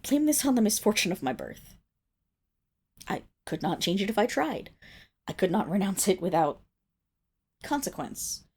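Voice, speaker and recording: Woman voicing a husky, haughty, flustered monstergirl noble. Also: mid-range voice, darkish tone, exaggerated voice. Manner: haughty and formal, stammering, breaking into breathy whispers. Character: proud, flustered tsundere Fenrir monstergirl of noble birth. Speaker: ASMR roleplay performer. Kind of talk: ASMR roleplay acting, addressed to the listener.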